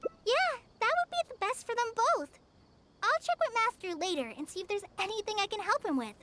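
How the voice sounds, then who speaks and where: A woman's voice: very high voice, expressive, brisk, pushed and bright, slight background noise, exaggerated voice. A woman voicing a timid, anxious, sweet teenage girl apprentice in a video game, speaking hesitantly and earnestly. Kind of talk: video game character voice acting.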